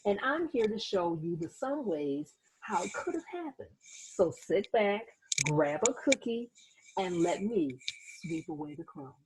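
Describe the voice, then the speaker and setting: A woman reading a children's picture book aloud as host, in an expressive storytelling manner with little asides to young listeners. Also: medium pitch, a little slow, noisy background.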